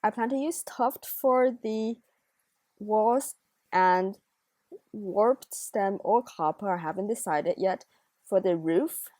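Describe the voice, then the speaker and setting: A woman's voice: medium pitch, slow pace, darkish tone, no room sound. A young woman whose first language is Chinese, chatting casually as she builds in a game, thinking aloud and correcting herself. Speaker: Minecraft builder and narrator. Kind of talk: casual gameplay commentary.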